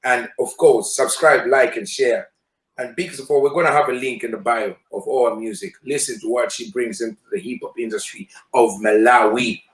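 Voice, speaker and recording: A man's voice: mid-range voice, steady tone, normal speed, a bit bright. A man hosting a casual interview show, upbeat and promotional, repeating calls to action to viewers. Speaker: show host. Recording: roomy echo.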